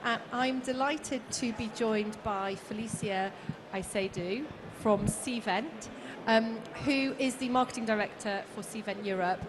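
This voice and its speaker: Hesitant woman host, noisy hall. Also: a little high. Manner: hesitant, with filler sounds. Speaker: session host. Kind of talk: introducing a speaker. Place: conference hall.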